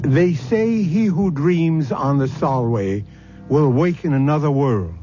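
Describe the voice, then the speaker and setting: A man's voice: on the high side, animated. A man hosting a radio mystery series with theatrical, ominous scripted narration. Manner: ominous, deliberate storytelling. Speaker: radio drama host. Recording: muffled old radio broadcast. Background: clear dramatic music.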